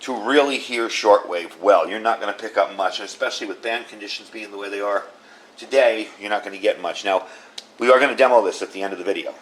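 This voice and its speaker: A man's voice: fairly low pitch, fast talker, rough recording. A middle-aged man giving a casual hands-on product demo, explaining things and repeating words for emphasis. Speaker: video host reviewing radio gear.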